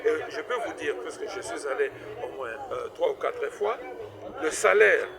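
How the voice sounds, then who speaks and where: A man's voice: a little high, big pitch swings, moderate pace, gravelly, a crowd in the background. A middle-aged man from Congo, a professor and inventor, answering interview questions with many fillers and stuttered repeats. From Democratic Republic of the Congo. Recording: noisy event recording with vehicle sounds.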